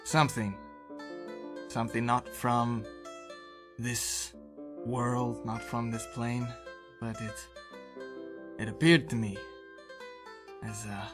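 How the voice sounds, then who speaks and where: Tabletop game role: ancient elf scholar played by a man, earnest and formal, slow measured delivery, music behind. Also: mid-range voice, little pitch movement, close to an everyday voice. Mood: awed, hushed. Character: earnest, scholarly 176-year-old male elf.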